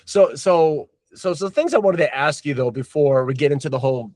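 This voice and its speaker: A man's voice: fairly high pitch, expressive, moderate pace, a little mellow, dry recording. A man hosting a casual podcast interview, enthusiastic and slangy, dropping "dude" and "man" often. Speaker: podcast host.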